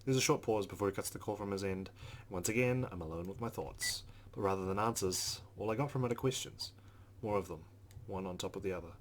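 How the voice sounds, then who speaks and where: A man's voice: deep voice, fairly quick, a little hiss or hum. A man hosting a let's play, reading visual novel narration aloud and adding casual commentary. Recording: game music behind the voice. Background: game music.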